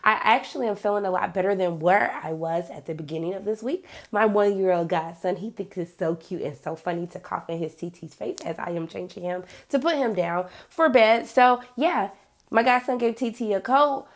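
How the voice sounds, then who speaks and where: A woman whose voice is worn raspy and hoarse from a cold. She talks through an episode recap in a chatty, loose way, with frequent ums and the odd throat clearing. Her pitch sits in the middle for a woman. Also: normal speed. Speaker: TV series reviewer and channel host.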